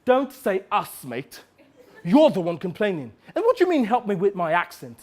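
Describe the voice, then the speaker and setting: A man puts on a haughty thespian in a comic story. He is thin-skinned and snobbish, scolding and threatening with a very animated rise and fall. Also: high voice, normal speed, full character voice. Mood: indignant, threatening. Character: touchy, indignant British actor, snobbish and threatening.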